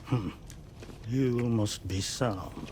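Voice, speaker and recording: Slow, solemn, intense pizza chef. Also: male voice, fairly low pitch, background noise. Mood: reserved, polite. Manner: grave, formal, deliberate, theatrical. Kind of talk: video game cutscene acting.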